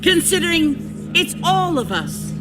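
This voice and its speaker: An actress playing a cold, ruthless survivor town leader in a video game, theatrical, menacing and mock-sincere. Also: female voice, extremely high pitch, sing-song, a little slow, loud music behind the voice, heavily acted. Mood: coolly menacing. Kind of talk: dramatic video game acting.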